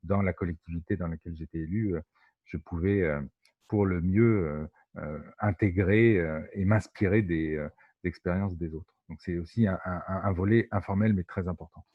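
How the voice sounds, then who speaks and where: A man who is an elected local official, speaking informally and haltingly in an online meeting. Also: low-pitched, husky. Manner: hesitant, halting. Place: video conference, roomy sound. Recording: muffled, echoey video call.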